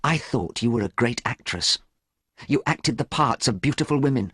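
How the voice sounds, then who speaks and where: A man voicing a proud young gentleman in a storybook reading, speaking coldly and bitterly. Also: mid-range voice, lively intonation, moderate pace, slightly rough, no echo, studio quality, pitched above the performer's own voice.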